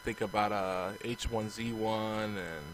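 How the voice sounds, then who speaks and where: A man co-hosting a casual podcast, chatty and relaxed, his voice going hoarse as he gets sick. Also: on the low side, measured pace. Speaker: podcast co-host. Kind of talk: casual chat.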